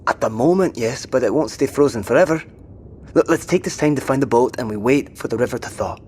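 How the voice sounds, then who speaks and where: Practical survivor, played by a man, steady and coaxing, mid pitch. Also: brisk, a little hiss or hum, close to an everyday voice. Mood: wary. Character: dry, practical British male survivor companion. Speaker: companion of a wounded survivor. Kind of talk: audio drama acting.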